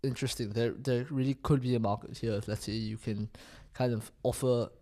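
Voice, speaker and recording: A man's voice: mid-range voice, steady tone, brisk, warm tone. A man in his twenties from Singapore, chatting casually as a podcast guest and thinking aloud with lots of fillers. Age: 20s. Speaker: guest, student entrepreneur. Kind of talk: casual interview chat.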